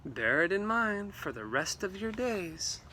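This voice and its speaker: Man, children's read-aloud, high bright voice, slow pace, theatrical, background noise. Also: big pitch swings, rough recording. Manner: theatrical, with exclamations and asides to children.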